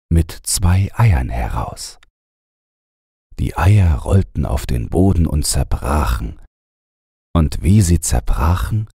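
Male bedtime narrator: extremely low, slow, husky voice reading fairy tales. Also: warm tone, no room sound, clean studio sound. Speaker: audiobook narrator.

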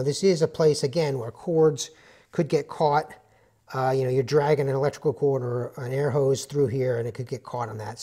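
A man's voice: a little high, darkish tone. A man giving a casual, rambling tool review in a garage workshop, with many fillers and restarts. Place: indoors, garage or workshop with concrete floor.

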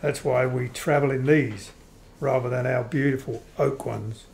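Man as a courteous, creaky-voiced vampire count. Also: mid-range voice, steady tone, a little mellow. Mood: matter-of-fact. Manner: measured, courteous, explanatory. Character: courteous, matter-of-fact vampire count and husband. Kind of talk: old-time radio play acting.

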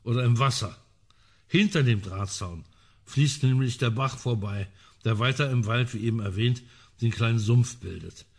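A man's voice: medium pitch, normal speed, natural voice. An actor playing a well-informed male amateur sleuth in a radio crime drama, talking in a dry, precise, ironic way. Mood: matter-of-fact.

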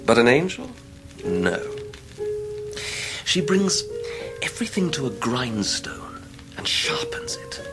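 An actor playing a charming, arrogant young gentleman with an upper-class English accent, teasing, in a radio drama. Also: mid-range voice, huge pitch swings, slow pace, pauses often, in a whisper, over music, low-quality recording. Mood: teasing, languid. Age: young adult. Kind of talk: 1990s radio drama acting.

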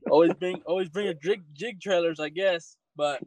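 A man with a somewhat higher voice talks casually as a podcast guest. He is laid-back and halting, keeping to short phrases. The recording is dry. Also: darkish tone, no echo, poor audio. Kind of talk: casual chat.

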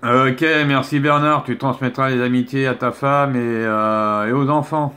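A man's voice: medium pitch, steady tone, unhurried, pushed and bright. A man chatting casually as an amateur radio operator, hesitant and often tagging his phrases.

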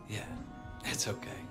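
An actor playing a tough undercover cop who is blunt and swears freely. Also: male voice, natural voice. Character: tough, hot-tempered male undercover cop. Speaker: undercover police officer. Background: game score music.

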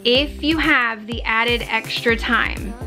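30s woman talking thru cleaning tips, kinda high voice, music playing under her. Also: takes it slowly. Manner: instructive, practical, matter-of-fact. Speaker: cleaning vlogger. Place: home living room, on a couch. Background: background music.

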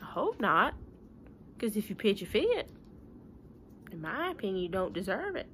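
A woman's voice: mid-range voice, big pitch swings, normal speed, fairly bright tone, a little hiss or hum. A young woman, talking informally to pupils and parents in a folksy, plain-spoken way with rhetorical questions. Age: young adult. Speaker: schoolteacher. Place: living room at home.

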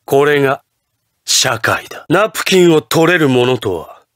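An actor playing an imperious, ruthless patriotic president in a Japanese anime fighting game, with grandiose, theatrical declarations. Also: male voice, fairly high pitch, expressive, unhurried, a bit bright, no room sound, studio quality. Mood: commanding, solemn.

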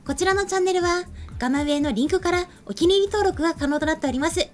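Young lady hosting a web news show, high voice, polite and tidy, faint music under her. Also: quick pace. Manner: polite, orderly. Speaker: presenter. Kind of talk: polite scripted announcing and light chat. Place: small indoor streaming studio. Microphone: desk microphone.

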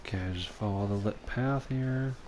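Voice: mid-range voice, slow, darkish tone, slight background noise. A gamer giving casual commentary while playing, muttering to themself with hesitation. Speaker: gamer playing through a game. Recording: game music behind the voice. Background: video game music.

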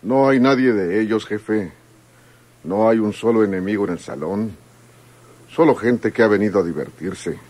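Actor as a husky, low-voiced henchman. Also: moderate pace, a little mellow, lightly acted. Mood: smug, deferential. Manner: deferential, reporting. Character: fawning, smug henchman of a club boss. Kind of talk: radio drama acting.

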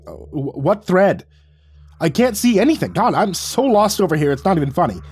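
A man's voice: high voice, racing, warm tone, no echo, pitched above the performer's own voice. A man voicing a terrified, flustered human who stammers through replies in a fantasy story. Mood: bewildered.

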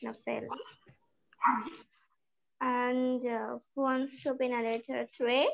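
A woman's voice: fairly high pitch, level tone, takes it slowly, gravelly, heavy background noise. A teenage girl with a Bangladeshi accent hosting an online group reading over a video call. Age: teens. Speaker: session host. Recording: dull video-call audio.

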